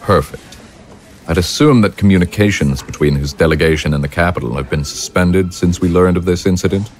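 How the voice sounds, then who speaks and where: A man acting as a commanding ruler with an extremely low voice. He speaks with deliberate, calculating authority, like a militarist leader in an audio drama, over faint background noise. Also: lightly acted. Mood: probing, cool.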